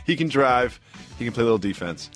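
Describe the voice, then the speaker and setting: A man co-hosting a sports show, quick and jokey in casual studio talk. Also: medium pitch, animated, faint music behind. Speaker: co-host. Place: radio studio.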